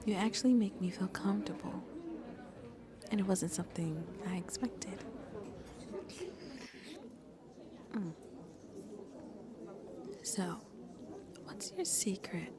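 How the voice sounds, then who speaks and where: Woman to play vampire date for ASMR roleplay: mid-range, soft, intimate, whisper-prone, shyly flirty and playful, over crowd murmur. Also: medium pitch, measured pace, pauses often, close to an everyday voice. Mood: intimate, teasing. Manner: soft, intimate, often dropping into breathy whispers.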